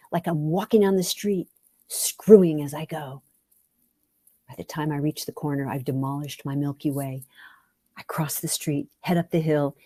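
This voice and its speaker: A woman with a fairly low voice reads a first-person story aloud. Her delivery is dramatic and expressive, sometimes sinking toward a whisper. The recording is dry, with little room sound. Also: dry recording. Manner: theatrical, expressive, with whispered phrases. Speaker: author reading own memoir story.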